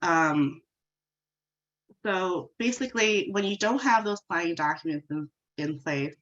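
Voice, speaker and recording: A female attorney with a very low but bright, forceful voice, congested from a cold. She explains conversationally as she walks through slides, and her sound comes over a dull, lo-fi call line. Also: animated, measured pace. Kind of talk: legal webinar presentation.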